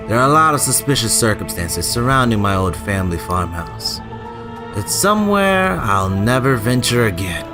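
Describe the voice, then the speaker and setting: A man giving casual, chatty let's play commentary and reading game text aloud, stumbling now and then. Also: mid-range voice. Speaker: gaming channel host.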